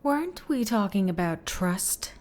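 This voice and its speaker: A woman's voice: mid-range voice, very animated, a little slow, darkish tone. Woman doing a no-nonsense ghost hunter lady, curt and wary, audio drama, faint music behind. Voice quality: blunt, curt delivery. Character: tough female ghost-hunting exorcist, blunt and wary. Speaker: voice actor in a fan audio drama.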